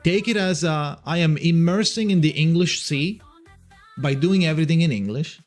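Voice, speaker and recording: A man's voice: fairly high pitch, normal speed, soft background music. Italian-accented man rambling casually. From Italy. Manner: rambling, many restarts, swears casually. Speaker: streamer. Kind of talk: casual livestream chat.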